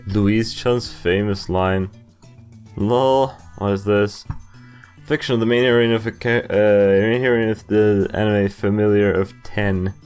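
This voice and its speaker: A young man reading game text aloud and commenting as he plays, with amused, halting asides. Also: fairly low pitch, steady tone, a little mellow, clear, resonant tone. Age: young adult. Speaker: video game player and commentator. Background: game music.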